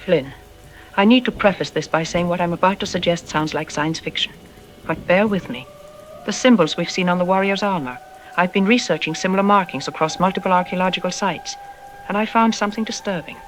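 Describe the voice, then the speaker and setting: Man playing a high-pitched, grave expert doctor. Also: slight background noise, close to an everyday voice, poor audio. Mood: grave, uneasy. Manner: deliberate, ominous, with dramatic pauses. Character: grave, troubled archaeology expert doctor on the news. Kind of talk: apocalyptic audio drama news interview.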